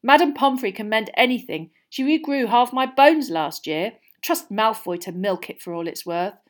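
A woman's voice: fairly high pitch, expressive, normal speed, pitched above the performer's own voice, close to an everyday voice. A woman voicing a loyal, plain-spoken schoolboy in an audiobook, direct and pointed. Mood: indignant, reassuring.

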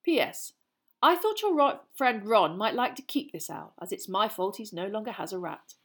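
A woman's voice: mid-range voice, sing-song, moderate pace, fairly bright tone. A woman reading out a letter from a fugitive godfather, earnest and a little raised, in a storybook reading. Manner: earnest, letter read aloud. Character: Sirius, fugitive godfather writing a caring letter.

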